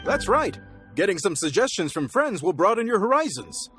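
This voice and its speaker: A man's voice: high-pitched, sing-song, normal speed, over loud music. Genial middle-aged father and chef. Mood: friendly. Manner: hospitable, gently fatherly. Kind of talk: video game voice acting.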